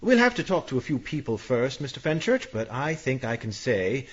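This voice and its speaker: Husky, animated police inspector. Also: male voice, medium pitch, normal speed, lo-fi sound. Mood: official, reassuring. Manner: matter-of-fact, official, put-upon. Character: exasperated, officious male police inspector. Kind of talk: radio mystery drama acting.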